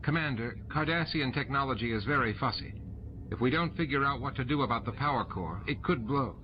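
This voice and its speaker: A man's voice: mid-range voice, a little fast, background noise, voice pushed up, close to an everyday voice. A man voicing an urgent female space station officer, giving clipped reports in an audiobook. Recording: muffled audio under loud music.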